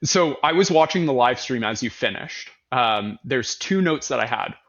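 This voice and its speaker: A man hosting an interview, asking relaxed questions with plenty of fillers. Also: medium pitch, moderate pace, highs cut off. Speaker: host. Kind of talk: casual interview.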